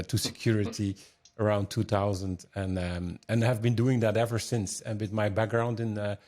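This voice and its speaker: Belgian man speaking English, low voice. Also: darkish tone. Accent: Belgian Dutch. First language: Dutch. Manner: hesitant, many restarts and fillers. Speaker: guest, security consultant. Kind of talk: casual interview chat. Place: home, in the evening.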